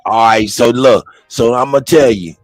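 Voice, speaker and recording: A man hosting a livestream, chatting casually in slang and repeating his phrases as he gives shout-outs. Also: medium pitch, a little slow, dry recording. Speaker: live stream host.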